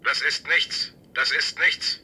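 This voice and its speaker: An actor playing a possessive, menacing camp inmate in a radio drama, reciting short simple sentences in a rigid, repetitive way. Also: male voice, a little high, steady tone, slow pace, bright, forceful tone, breathy edge, exaggerated voice.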